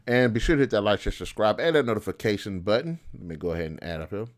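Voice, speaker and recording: Male video host, lowish pitch, informal off-the-cuff film commentary, colloquial and rambling. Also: lively intonation, fast. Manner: colloquial, rambling, thinking aloud. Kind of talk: casual unscripted opinion commentary.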